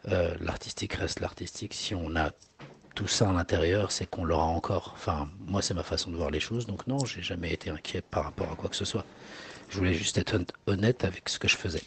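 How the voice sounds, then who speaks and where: A man's voice: low voice, a little fast, gravelly, fairly bright tone, a little hiss or hum. A man in his forties, a singer whose first language is Arabic, answering interview questions hesitantly with many fillers and repeated words. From Morocco.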